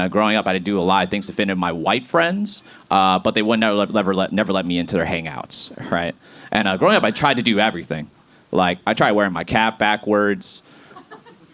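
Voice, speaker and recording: A man of thirty doing a stand-up comedy set on a club stage, animated and jumping to high shouts on punchlines. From Boston area.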